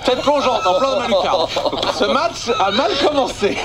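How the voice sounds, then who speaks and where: A man's voice: very high voice, wildly swooping pitch, moderate pace, over a noisy crowd. A Belgian man with a Belgian French accent doing a sarcastic comic monologue as a radio columnist. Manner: sarcastic, punchy joke delivery.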